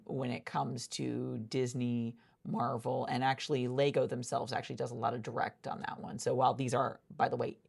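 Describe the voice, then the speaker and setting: Low, creaky-voiced woman in ASMR interview roleplay.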